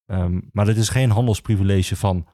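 A man the explains history informally as an expert guest, halting and repeating himself as he searches for words. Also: on the low side, dark tone, no room sound. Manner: hesitant, halting, with many pauses and repetitions. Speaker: archivist, podcast guest.

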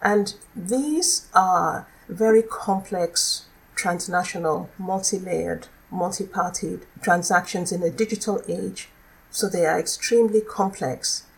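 A middle-aged woman with a Nigerian accent, a law lecturer explaining her work in a measured, articulate way as a podcast guest. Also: on the low side, gravelly, low-quality recording. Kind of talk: podcast interview.

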